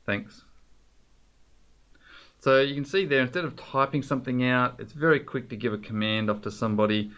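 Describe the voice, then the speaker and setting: A man presenting an informal software tutorial, explaining things directly to the viewer in a conversational way. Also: medium pitch, normal speed, long pauses, clear, resonant tone.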